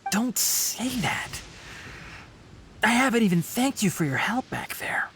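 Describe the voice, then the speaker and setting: An actor playing a proud young male forest healer who is hurt, his light, boyish voice breathy, tired and measured, in a video game. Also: lively intonation, fairly bright tone. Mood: weary, disdainful. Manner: measured, weary, understated. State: pained and tired from a wound. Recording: game ambience with low rumble.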